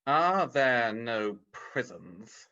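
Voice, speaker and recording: A man's voice: medium pitch, big pitch swings, drawn-out words, sharp tone, full character voice. An actor playing a miserly, scornful old businessman in a radio-style drama, curt and sneering, on a dull video call. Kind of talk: vintage radio drama acting.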